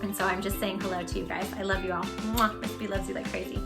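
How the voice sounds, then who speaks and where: A woman hosting a children's storytime, speaking in an animated, gushing way straight to young listeners as she chats and reads aloud. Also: mid-range voice, fast talker, vocal fry, loud music behind the voice. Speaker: children's storytime host and creator of the channel. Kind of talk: children's read-aloud and direct-to-viewer chat.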